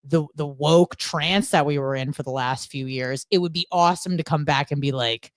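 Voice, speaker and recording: An American woman with a fairly low voice chats as a radio co-host. She is candid and gossipy, sprinkling in casual asides as she vents. The sound is dry and close. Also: normal speed, no room sound. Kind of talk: casual opinionated podcast chat, venting.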